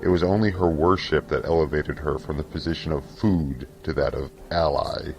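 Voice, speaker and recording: A man reading scripted fantasy narration for an audio drama in a solemn, measured, theatrical way. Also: extremely low pitch, steady tone, a little mellow, soft background music.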